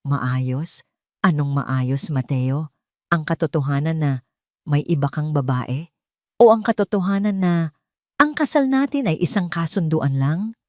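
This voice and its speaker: A woman's voice: low voice, animated, unhurried, long pauses, darkish tone, dry recording, clean studio sound. A woman voicing a hurt, angry young wife who accuses and pleads in a Tagalog audio drama. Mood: angry, accusing. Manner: accusing, pleading rhetorical questions.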